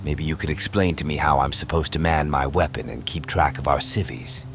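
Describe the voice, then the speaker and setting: A man playing a big, gruff, sarcastic but protective soldier in a dramatized audio comic. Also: extremely low pitch, a little fast, music in the background.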